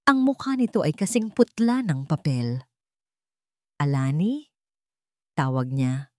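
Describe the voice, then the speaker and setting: A woman's voice: low voice, very animated, moderate pace, dry recording, clean studio sound. A woman with a Filipino accent reading a scripted, dramatic story as its narrator.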